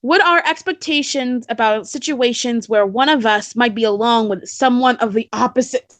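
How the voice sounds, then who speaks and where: A woman's voice: a little high, moderate pace, fairly bright tone, no room sound. A woman co-hosting a casual chat, reading questions aloud with a giggly manner.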